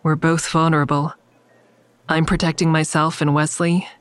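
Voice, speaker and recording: Woman acting as an earnest, anxious counselor, lowish voice. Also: little pitch movement, normal speed, no room sound, close to an everyday voice. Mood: uneasy, reflective. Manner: hesitant, earnest, introspective. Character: anxious, earnest female crisis counselor narrating her own story. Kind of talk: first-person audio drama narration and dialogue.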